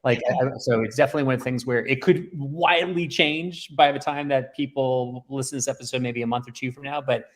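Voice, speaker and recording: A man's voice: medium pitch, steady tone, a little fast, few pauses. A man hosting a tech show in a casual presenting style, explaining things in wordy run-on sentences. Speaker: show host.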